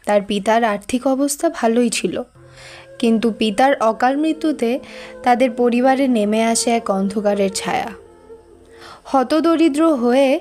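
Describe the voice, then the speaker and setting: A female storyteller with a high voice reads scripted narration for a cartoon tale. Faint music plays behind her. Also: a little mellow.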